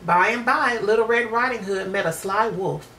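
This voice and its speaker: A woman's voice: mid-range voice, normal speed, bright, forceful tone. A woman narrating a children's storybook expressively. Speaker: storybook reader. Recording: roomy, distant mic with faint noise.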